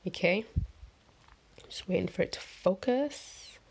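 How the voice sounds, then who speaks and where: A woman vlogger with a croaky morning voice, talking through a head-wrap tutorial in a chatty, rambling, upbeat voiceover. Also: medium pitch, lively intonation, takes it slowly, slight background noise. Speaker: fashion vlogger. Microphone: voiceover mic.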